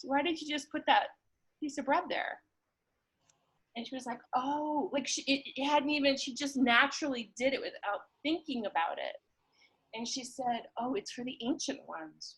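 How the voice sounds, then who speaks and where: A woman chatting casually and warmly, telling anecdotes in an informal, halting way with repeated words. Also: sits high, husky, rough recording. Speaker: conversation partner, guest. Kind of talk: casual, warm chat and anecdote.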